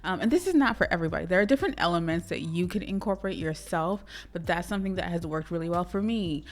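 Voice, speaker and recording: A woman's voice: on the low side, lively intonation, fast talker. A woman from Trinidad and Tobago with a Trinidadian accent, talking to camera in a casual, encouraging advice vlog for her channel. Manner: chatty, encouraging, talks straight to the viewer. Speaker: YouTuber and business coach.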